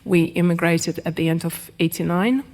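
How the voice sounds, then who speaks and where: A woman's voice: on the low side, normal speed, darkish tone, long reverb tail. Reflective woman. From Ukraine. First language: Russian. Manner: reflective, thoughtful, many fillers and restarts. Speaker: guest writer. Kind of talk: live panel conversation. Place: event hall before a live audience.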